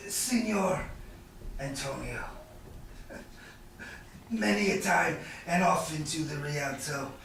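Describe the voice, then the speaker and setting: A man acting a bitter, sly moneylender in a Shakespearean staged reading, with a hesitant, drawn-out, chuckling delivery. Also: on the high side, creaky, a bit bright, heavily acted. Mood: bitter, mocking. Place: live staged reading in a reverberant room. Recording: echoey room, distant mic, faint noise.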